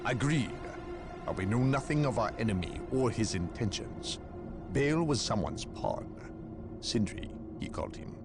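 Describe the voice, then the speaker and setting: A man's voice: medium pitch, expressive, lightly acted. Stern, dignified inquisitor played by a man. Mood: wary. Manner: formal, deliberate. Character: suspicious, measured male inquisitor, stern and dignified. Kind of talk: dramatic video game voice acting. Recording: game cutscene with music behind.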